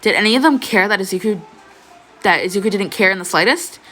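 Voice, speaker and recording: A woman's voice: mid-range voice, quick pace, a bit bright, faint music behind. A young adult woman reading fan fiction as an amateur narrator. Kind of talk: expressive scripted story narration, casual asides.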